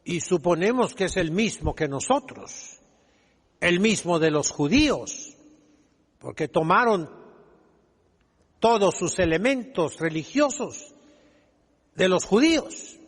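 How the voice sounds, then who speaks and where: Older male preacher, extremely slow delivery, emphatic scolding homily, long church reverb. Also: high voice, lively intonation, husky. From Mexico. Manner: emphatic, scolding, deliberate with dramatic pauses. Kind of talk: fiery homily, exhorting the congregation. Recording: echoing hall with long reverb.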